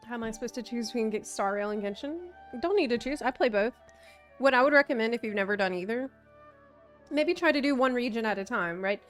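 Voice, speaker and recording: Girl streaming a game, chatty n expressive, highish voice, soft music behind. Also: quick pace. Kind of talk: casual live commentary.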